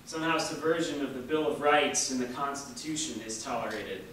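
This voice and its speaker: Echoey, forceful man reciting a political speech. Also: mid-range voice. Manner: emphatic, rhetorical repetition with deliberate pauses. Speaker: reader at a memorial staged reading. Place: large echoey indoor hall, distant microphone. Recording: echoey hall from far off, faint noise.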